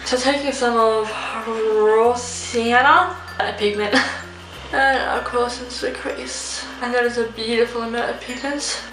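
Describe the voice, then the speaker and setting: A young woman with a fairly high voice talks through her makeup casually, rambling with frequent ums. She sounds a little nasal, as if coming down with a cold. The room is echoey, with music playing behind her. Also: steady tone, unhurried. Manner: chatty, rambling, many ums. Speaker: beauty vlogger. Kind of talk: casual makeup tutorial chat. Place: room at home. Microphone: phone camera. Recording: roomy home recording with music. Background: soft background music.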